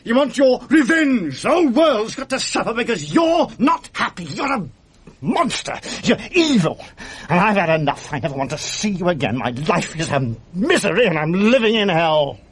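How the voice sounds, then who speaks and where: Bitter sardonic british husband in a radio play, middle aged, husky, really animated pitch. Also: male voice, moderate pace, heavily acted. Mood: furious ranting. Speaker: lead actor and narrator. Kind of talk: British radio drama acting.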